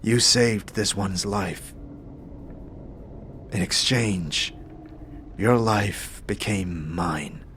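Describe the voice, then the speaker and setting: Man acting slow, low, solemn enslaved warrior. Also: fairly bright tone, slight background noise. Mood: grave, sorrowful. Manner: grave, formal, archaic, halting phrasing. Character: weary, solemn enslaved warrior bound by oath.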